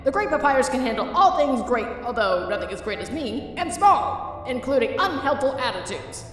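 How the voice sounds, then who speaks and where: A woman's voice: sits high, big pitch swings, moderate pace. A woman voicing an earnest, boastful, caring young male skeleton in a dramatic comic dub, grandiose and insistent in manner. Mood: proud, grandiose. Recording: echoey hall, distant, faint music.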